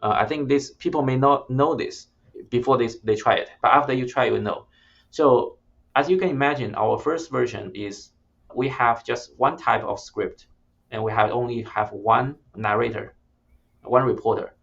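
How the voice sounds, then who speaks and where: A man's voice: mid-range voice, normal speed, vocal fry, fairly bright tone, dull audio. A man who is not a native English speaker, a startup founder explaining his product in an interview, speaking plainly with hesitant restarts. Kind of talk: interview conversation.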